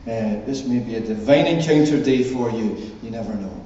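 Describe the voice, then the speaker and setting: A man's voice: mid-range voice, normal speed, mellow. A man leading a church service, speaking informally to a congregation or reading scripture. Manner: chatty, off the cuff. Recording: echoey hall, distant, dull, faint noise.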